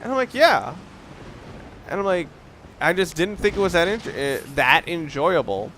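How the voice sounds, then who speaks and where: A man's voice: fairly high pitch, lively intonation, normal speed, a bit bright, slight background noise. A young man giving casual, rambling gameplay commentary, swearing often and poking fun at himself. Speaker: let's play commentator.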